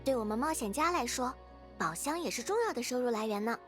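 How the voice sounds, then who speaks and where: A woman's voice: a little high, animated, quick pace, faint music behind, natural voice. A woman voicing a cheerful, friendly young female adventurer and naturalist who muses fondly in a video game. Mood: musing, fond.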